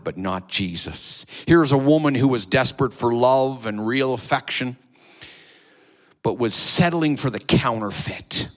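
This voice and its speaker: A man preaching a sermon to his church, with a loud voice that swells and drops, repeating phrases for emphasis. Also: on the high side. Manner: sings hymn lines softly, repeats phrases. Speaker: pastor.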